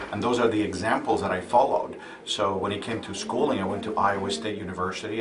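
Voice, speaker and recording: A man speaks earnestly in a low voice, recorded from a distance. He often repeats words while he searches for the phrasing. A crowd chatters behind him in a big convention hall. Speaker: congressional candidate. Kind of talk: conversational interview answers.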